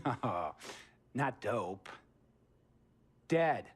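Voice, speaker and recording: A man's voice: medium pitch, sing-song, extremely slow, breathy edge, exaggerated voice. An actor playing a stern middle-aged male team executive in a video game cutscene, lecturing with sarcasm and authority.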